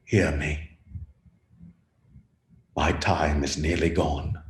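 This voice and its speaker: A tormented male ghost declaims slowly in a roomy echo. His grave, remorseful words swell and sink with theatrical weight, in the manner of a radio play. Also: extremely low pitch, fairly even intonation, a little hiss or hum, full character voice. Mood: anguished, remorseful. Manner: solemn, drawn-out declamation with big swells and hushed drops. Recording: roomy, echoing sound.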